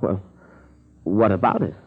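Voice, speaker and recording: A muffled old radio recording holds a young male student, wary and polite. His voice is low and husky, in 1940s radio drama style. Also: very animated, measured pace, exaggerated voice. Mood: wary, puzzled. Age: young adult.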